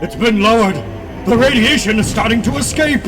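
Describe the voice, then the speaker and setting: Man playing a panicked, high-pitched plant worker. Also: moderate pace, recorded from a distance, over music. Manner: alarmed, urgent, dramatic. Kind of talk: comic book dramatic reading.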